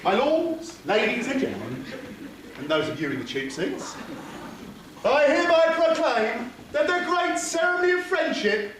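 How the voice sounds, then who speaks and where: A man's voice: extremely high pitch, sing-song, heavily acted. A man with an English accent playing a cheeky, pompous town crier, proclaiming in an amateur stage pantomime. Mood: mock-pompous. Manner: declamatory, drawn-out proclaiming. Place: theatre stage. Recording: very echoey noisy theatre.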